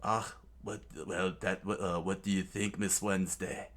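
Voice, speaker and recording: A man's voice: low voice, flat delivery, measured pace, fairly bright tone. A man voicing a smug, scheming middle-aged male villain who drawls his lines in a cold, gloating tone.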